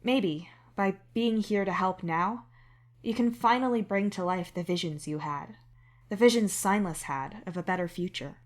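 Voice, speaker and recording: A woman's voice: mid-range voice, moderate pace. A woman reading a scripted second-person story as its narrator. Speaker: fan fiction narrator.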